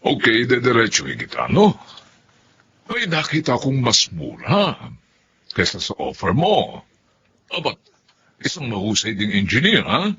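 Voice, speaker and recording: A man's voice: medium pitch, wildly swooping pitch, unhurried, long pauses, fairly bright tone, breathy edge, dull audio, exaggerated voice. A man acting a patronizing, businesslike rich client in a Filipino radio drama, with a Filipino accent. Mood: smug, businesslike. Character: smooth-talking, businesslike rich male building client, condescending.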